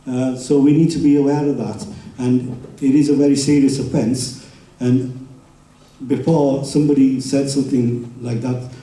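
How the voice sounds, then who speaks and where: A man's voice: mid-range voice, dark tone, far from the mic. Kenyan-born man speaking in a roomy hall. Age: middle-aged. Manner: informal, many ums and uhs, explanatory. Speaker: community speaker. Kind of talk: public talk at a community event.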